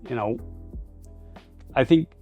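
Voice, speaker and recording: A man's voice: takes it slowly, narrow, tinny audio, soft background music. A man giving informal interview answers as a startup founder, in a hesitant, loosely phrased, rambling way. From Norway.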